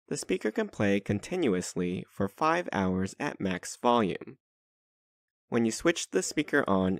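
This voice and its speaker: A man's voice: on the low side, dry recording, studio quality. A man reading scripted narration for a product review.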